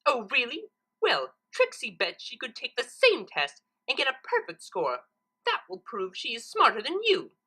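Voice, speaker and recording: A woman's voice: sits high, wildly swooping pitch, moderate pace, pushed and bright, slightly rough, voice pushed up, full character voice. Woman doing a pompous, boastful show-off cartoon unicorn. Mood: challenging. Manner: pompous, speaks of herself in third person. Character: boastful, theatrical female unicorn magician, pompous and egotistic.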